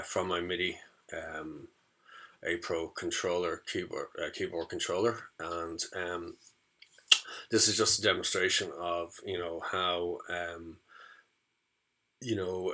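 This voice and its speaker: A man with a deep voice and a bright, forward edge gives an informal demonstration. His delivery is halting and hesitant, as if feeling his way through the explanation. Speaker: music student demonstrating audio effects.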